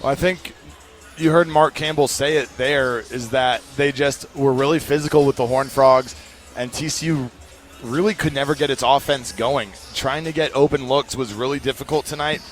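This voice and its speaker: A man working as a play-by-play commentator, breaking down a basketball game in a measured, analytical way. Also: mid-range voice, fairly even intonation, faint music behind. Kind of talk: sports analysis talk.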